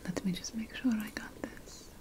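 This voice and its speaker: A woman playing a calm, ceremonial ancient witch priestess in an ASMR roleplay, mostly whispering in a breathy, soothing and deliberate way. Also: mid-range voice, natural voice. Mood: gentle, attentive. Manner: slow, soothing, deliberate, repeating phrases.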